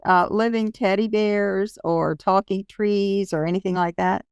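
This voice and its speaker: A woman's voice: mid-range voice, steady tone, a little slow, darkish tone, smooth, dry recording, lo-fi sound. A woman hosting a casual interview, asking questions and responding conversationally. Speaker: interview host.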